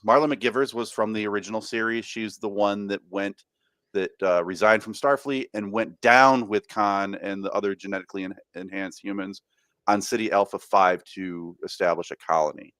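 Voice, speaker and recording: Rambling low-voiced man podcasting. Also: fairly even intonation, moderate pace, fairly bright tone, smooth, no echo. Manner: rambling, frequent fillers and restarts. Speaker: podcast co-host. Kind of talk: casual podcast chat.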